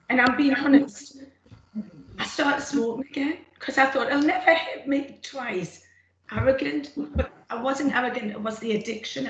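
Gravelly-voiced woman, earnest testimony. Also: fairly high pitch, dull audio. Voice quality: gravelly, rough throat. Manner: earnest, plain-spoken, emphatic. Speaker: former smoker speaking at a roundtable. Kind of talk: personal testimony read partly from notes. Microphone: computer mic on a video call. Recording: echoey room, faint noise.

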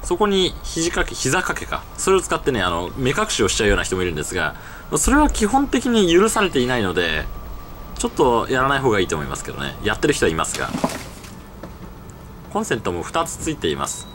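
A man's voice: medium pitch, huge pitch swings, fast talker, fairly bright tone, slight background noise. A young man vlogging his travels casually into a handheld camera, explaining costs and rules in detail, outdoors at night and then in a small internet cafe booth. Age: young adult. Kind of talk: casual travel vlog narration.

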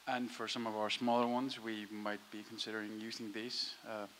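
Flat man over a hissy mic. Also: fairly low pitch, normal speed. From Iceland. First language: Icelandic. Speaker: audience member asking a question. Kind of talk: informal spoken question. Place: audience seats in a conference hall. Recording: hissy, noisy audience microphone.

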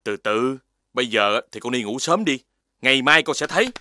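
A man voicing a patient father dog in a cartoon, calm and gently lecturing his son. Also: mid-range voice, normal speed, noisy background, natural voice. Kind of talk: cartoon voice acting. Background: background music.

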